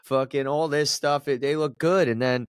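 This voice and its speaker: A man's voice: fairly high pitch, steady tone, normal speed, no room sound, clean studio sound. A man co-hosting a casual sports podcast, reading promos in a sing-song way and rambling with restarts in the chat. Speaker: podcast co-host.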